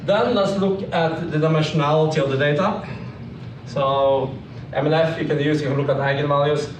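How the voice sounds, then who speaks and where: A man giving an informal technical slide presentation, explaining in a halting way. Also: a little high, steady tone. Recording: echoey room, distant mic, clearly noisy.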